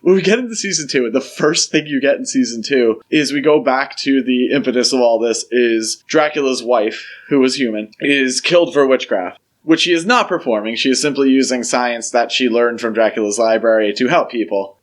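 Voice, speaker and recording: A man's voice: medium pitch, a little fast, few pauses. A man co-hosting a podcast, giving his opinions in an informal, conversational review chat. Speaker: podcast co-host.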